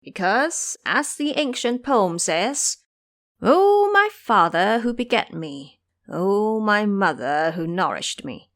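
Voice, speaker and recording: A woman's voice: mid-range voice, big pitch swings, slow, fairly bright tone. A woman voicing a cocky, teasing male monkey king in an audio drama, boastful, preachy and theatrical in manner.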